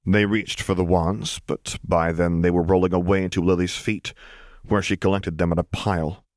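A man reading scripted fiction as an audiobook narrator, in a measured, dramatic storytelling manner. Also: sits low, fairly even intonation, dry recording, clean studio sound.

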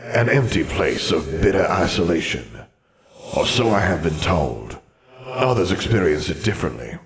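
A man voicing an ancient, stern and proud wizard's ghost who speaks in a formal, measured, haughty manner. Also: deep voice, reverberant, full character voice.

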